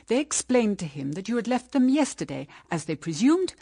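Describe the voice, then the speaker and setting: A woman's voice: a little high, big pitch swings, normal speed, warm tone, slightly rough, no room sound, studio quality, exaggerated voice. An actress playing a stern, moralising middle-aged English mother in a period radio drama, with formal, emphatic diction. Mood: grave recounting.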